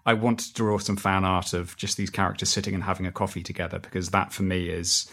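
Low, creaky-voiced man in conversation. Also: flat delivery, quick pace, a bit bright. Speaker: interview guest, podcast writer. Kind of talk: conversational interview answers.

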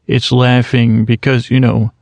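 Gravelly man rambling through a podcast intro. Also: medium pitch, measured pace, no echo. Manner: goes off on rambling tangents; self-mocking, deadpan musing. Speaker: podcast host.

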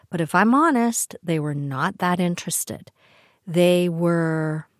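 An older Canadian woman chats through family stories in a wry, animated way, her voice sitting fairly low. The sound is dry and close, with no room echo. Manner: chatty, wry, animated asides. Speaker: podcast host. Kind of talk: personal storytelling monologue.

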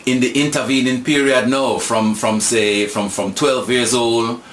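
A man's voice: medium pitch, steady tone, measured pace, a bit bright, a little hiss or hum. A man in his sixties from Jamaica with a Jamaican accent, telling stories thoughtfully and repeating words as he searches for them. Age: 60s. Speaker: guest. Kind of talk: reflective storytelling. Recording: echoey room with faint ambience.

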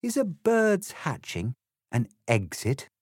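A man's voice: on the high side, huge pitch swings, slow, no echo, clean studio sound, voice pushed up, exaggerated voice. A man voicing a prim, polite and puzzled young girl in a storybook satire. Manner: polite and proper.

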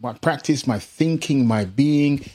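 A middle-aged English musician with a British accent touched by Jamaican Caribbean tones, telling stories casually in an interview and laughing often. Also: male voice, mid-range voice, a little slow. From England. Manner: laughs often, animated anecdotes. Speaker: musician guest.

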